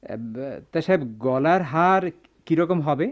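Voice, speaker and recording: A man's voice: a little high, slow pace, dull audio. A man with a Bangladeshi accent acting in a radio drama as a busy, grudging goldsmith shop owner, hesitant and impatient. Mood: businesslike.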